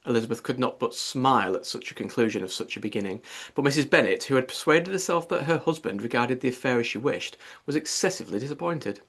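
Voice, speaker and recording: A man's voice: medium pitch, lively intonation, fast talker, a bit bright. A man reading a classic novel aloud as an audiobook narrator, in scripted literary narration.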